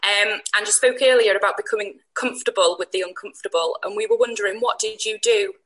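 Female attendee, formal and polite, mid-pitched, slightly scripted. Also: fairly even intonation, fast, fairly bright tone, rough recording. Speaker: audience member. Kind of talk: asking a prepared question. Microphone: video call.

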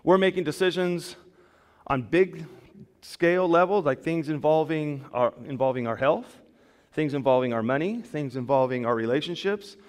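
A man preaching a sermon as pastor, speaking loudly in a conversational way with casual asides, into a microphone in a church. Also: on the high side, moderate pace. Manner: loud, conversational, self-deprecating asides. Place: church sanctuary. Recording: long hall reverb in a church.

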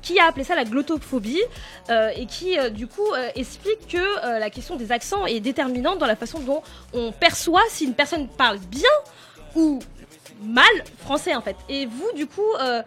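A woman's voice: high voice, lively intonation, normal speed, fairly bright tone, soft background music. A woman moderating a casual panel discussion, often hesitant and restarting as she frames questions. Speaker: podcast host and moderator.

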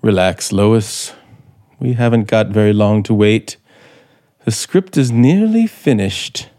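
An actor playing an embittered, desperate scriptwriter with a gun, slow and menacing in 1950s radio suspense style. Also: male voice, lowish voice, lively intonation, mellow. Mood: grimly theatrical. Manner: menacing, slow and deliberate, dramatic pauses. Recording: 1950s radio broadcast, music behind.

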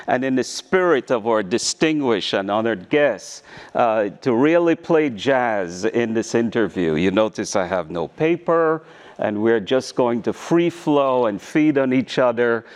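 Slow, deliberate man hosting onstage. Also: mid-range voice, lively intonation. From Belize. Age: middle-aged. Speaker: interviewer, university provost. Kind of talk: onstage conversational interview. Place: stage before an audience.

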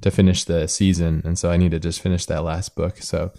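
A man's voice: sits low, flat delivery, brisk, gravelly, dark tone. A man hosting a casual interview, rambling a little as he searches for words. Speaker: podcast host and interviewer.

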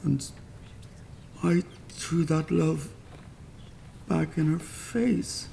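A man's voice: on the high side, extremely slow, gravelly, mellow, slight background noise, full character voice. An actor in a radio play playing a vain, demanding, self-pitying old Irish dead man, blustering and stammering when upset. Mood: remorseful pleading. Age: older.

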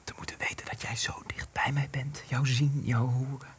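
A man's voice: medium pitch, flat delivery, moderate pace, in a whisper, lightly acted. An actor in a radio play, playing a tormented, ashamed resistance man who is a secret lover in hiding, earnest and intense. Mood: hushed, tender.

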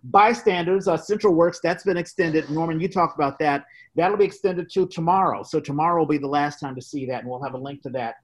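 A man hosting a podcast, reading out announcements in an informal, halting way. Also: on the high side, steady tone. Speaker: podcast host. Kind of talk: casual podcast sign-off and announcements.

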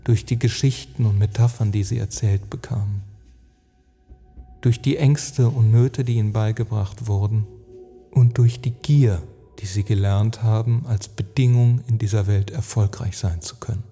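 A man delivering a measured scripted monologue in formal, academic, long sentences. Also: on the low side, fairly even intonation, warm tone, breathy edge, long reverb tail. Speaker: narrator giving an essay on climate. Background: music.

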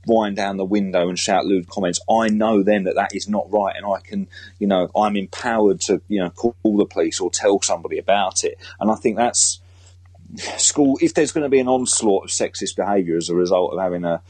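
Husky, low-voiced British man, earnest. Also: brisk, a little mellow, dry recording, poor audio. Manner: earnest, emphatic. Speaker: school teacher and author, interview guest. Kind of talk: conversational interview.

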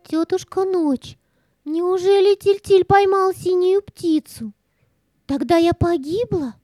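A woman's voice: very high-pitched, takes it slowly, warm tone, no echo. A woman voicing a sly, anxious female cat in a children's audio play, cautious and coaxing.

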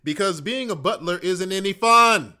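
An actor playing a sarcastic, exasperated schoolboy stuck as a butler, in a comic radio play. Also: male voice, high-pitched, normal speed, sharp tone, clean tone. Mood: indignant. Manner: sarcastic, indignant, colloquial.

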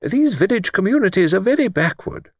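A man voicing a mild, absent-minded, courteous old village vicar who speaks gently and hesitantly, repeating himself. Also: high-pitched, big pitch swings, a little fast, no echo, studio quality, pitched above the performer's own voice, heavily acted. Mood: gentle, musing. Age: older.

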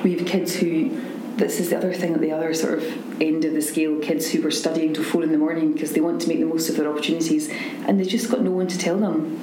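A woman's voice: deep voice, little pitch movement, fast talker. A woman with a Scottish accent, a charity founder speaking earnestly and passionately about her work in an interview. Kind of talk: recorded interview. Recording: echoey room with background noise.

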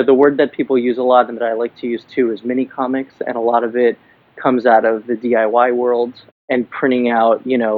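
A man from Miami, a comic book writer, answering interview questions thoughtfully from a basement. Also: mid-range voice. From Miami, Florida. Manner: thoughtful, many fillers and restarts. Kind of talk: podcast interview. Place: building basement. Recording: dry, phone-like remote line.

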